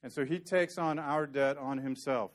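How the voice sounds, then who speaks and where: A man's voice: medium pitch, fairly even intonation, moderate pace. A man teaching a sermon, earnest and conversational, walking through scripture and sometimes joking dryly with the congregation. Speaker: preacher.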